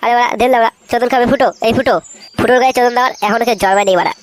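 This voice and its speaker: A man's voice: very high voice, steady tone, racing. Swaggering young cartoon slacker played by a man, altered voice, slangy swearing, faint noise behind. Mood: cocky, bantering. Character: brash foul-mouthed young layabouts in a cartoon. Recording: faintly noisy cartoon dub, birdsong behind.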